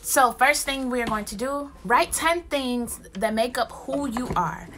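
A woman's voice: a little high, measured pace, fairly bright tone, a little hiss or hum. A young woman from Ghana, a poetry workshop teacher, speaks to students in a recorded online lesson, talking expressively and casually straight to them into a phone camera held at arm's length in a small room at home.

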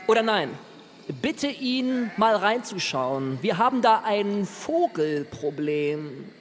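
An actor playing a world-weary yet passionate, exasperated boss in a radio play. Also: male voice, sits high, a bit bright, exaggerated voice. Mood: brooding. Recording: long hall reverb with background noise.

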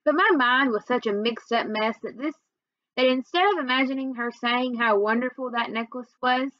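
A young woman reads a children's story aloud. Her voice sits a little high. The webcam recording is dry and slightly muffled, made in a small room. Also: moderate pace, dry recording. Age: young adult. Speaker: reader. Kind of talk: children's book read-aloud narration. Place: small room at home, indoors.

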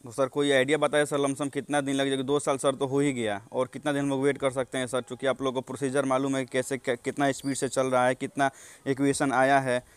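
Clear-voiced young Bihari man, politely insistent. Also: mid-range voice, no echo. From Bihar, India. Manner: polite, insistent, repeatedly saying sir. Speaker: job candidate calling an official. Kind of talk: deferential phone inquiry.

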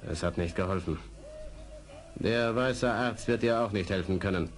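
An actor playing a proud, suspicious war chief in an audio drama, speaking in terse, formal pronouncements. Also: male voice, fairly low pitch, moderate pace, close to an everyday voice. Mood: grave. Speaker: actor in a radio play. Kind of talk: 1970s German audio drama acting.

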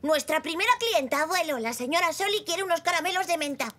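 A woman's voice: very high voice, animated, fast, pushed and bright, a little hiss or hum, full character voice. A woman with a Castilian Spanish accent voicing an eager, polite five-year-old boy zebra in a children's cartoon, chirpy and earnest. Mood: cheerful, helpful.

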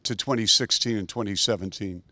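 A man's voice: on the low side, fairly quick, bright, forceful tone, dry recording. A middle-aged man hosting a team TV interview, upbeat and polished, speaking into a handheld mic at an indoor media backdrop. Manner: upbeat, polished broadcaster delivery.

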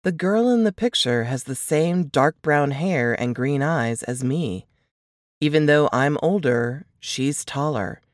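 A woman reading a first-person novel as scripted narration, in a dramatic, expressive manner. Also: low voice, a little slow, no room sound, studio quality.

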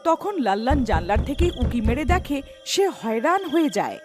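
A woman's voice: on the high side, sing-song, fairly quick, over music. A woman narrating a scripted cartoon story.

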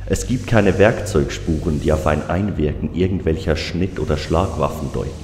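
An actor playing a weary, troubled coroner in a German audio drama, speaking in a deliberate, brooding, clinical way. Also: male voice, sits low, darkish tone. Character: weary, troubled male small-town coroner. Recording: echoey hall reverb, music behind.

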